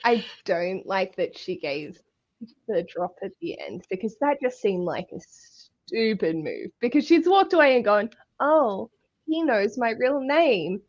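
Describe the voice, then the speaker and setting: A woman's voice: mid-range voice, sing-song, unhurried, dull audio. A woman co-hosting a casual online book chat, blunt and slangy, with easy swearing. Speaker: co-host of an online book discussion.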